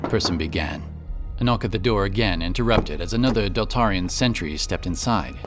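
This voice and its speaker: A man's voice: sits low, lively intonation, fairly quick. A man narrating a scripted fantasy audiobook as a storyteller. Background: prominent background music.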